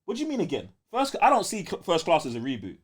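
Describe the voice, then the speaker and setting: Animated London man hosting a podcast. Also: high voice, fast talker, breathy edge. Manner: slangy, animated. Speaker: podcast host. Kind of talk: casual live podcast banter.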